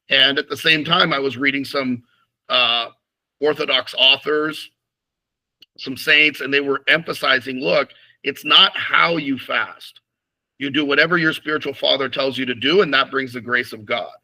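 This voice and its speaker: A man's voice: mid-range voice, creaky, sharp tone, low-quality recording. A man, a priest being interviewed, talking frankly about his past with many restarts and fillers. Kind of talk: casual interview conversation.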